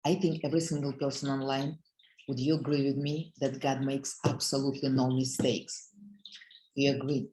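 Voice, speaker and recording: A woman's voice: extremely low pitch, little pitch movement, normal speed, warm tone, rough recording. A middle-aged woman with a Russian accent gives a heartfelt motivational talk on a video call, speaking earnestly and addressing her audience directly.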